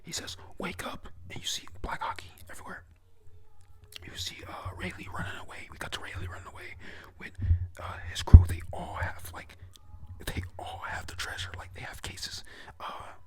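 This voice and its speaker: A young adult whispering a rambling, excited play-by-play recap at home, full of fillers. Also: moderate pace. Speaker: anime episode recapper. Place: at home with family nearby.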